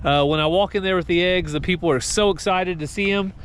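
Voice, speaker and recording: Higher-pitched man vlogging in noisy surroundings. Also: a little fast, fairly bright tone. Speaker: family farm vlogger. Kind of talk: casual vlog chat. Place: outdoors on a farm. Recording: noisy handheld outdoor farm recording.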